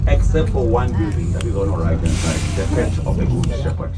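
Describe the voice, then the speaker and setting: A man's voice: lowish voice, lively intonation, a little fast. A South African man whose first language is not English, guiding a tour group and calling them "good people" as he asks them questions. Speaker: tour guide. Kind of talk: guided bus tour narration. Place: tour bus. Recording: tinny distant PA-like sound with loud vehicle noise.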